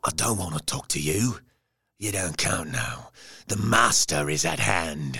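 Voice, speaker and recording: An actor playing a fanatically devoted asylum madman in a gothic audio drama, fervent and servile with a raspy, gravelly voice. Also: sits low, expressive, measured pace, pushed and bright, exaggerated voice. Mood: dismissive, agitated.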